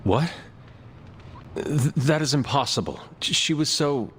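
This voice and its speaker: A man's voice: medium pitch, expressive, a little slow, fairly bright tone, a little hiss or hum. A man playing a stern, battle-worn supernatural hunter in a video game, speaking in a formal, terse, serious way. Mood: shocked.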